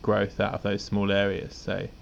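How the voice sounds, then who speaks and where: A man's voice: fairly low pitch, monotone, normal speed, vocal fry, lo-fi sound. A man co-hosting a podcast in a laid-back, rambling casual chat, often chuckling. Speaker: podcast co-host.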